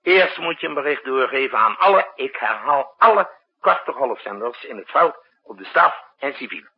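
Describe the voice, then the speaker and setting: An actor playing a commanding, harried state leader with a brisk, imperious manner in a 1960s radio drama. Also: male voice, medium pitch, very animated, husky. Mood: urgent, commanding. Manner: brisk, imperious, muttering to himself between orders. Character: commanding, harried male state leader issuing urgent emergency orders. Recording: dull, muffled 1960s radio recording.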